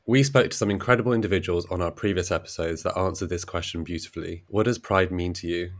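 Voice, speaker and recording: A man hosting a podcast interview, effusive and lively in his introductions. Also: low-pitched, fast. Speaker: podcast host.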